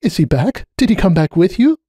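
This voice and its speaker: Guy putting on frantic mom pony voice for an audiobook, way high, fretful and pleading. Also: very animated, a little fast, mellow, husky, dry recording, clean studio sound, exaggerated voice. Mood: anxious. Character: anxious, desperate mother pony, female.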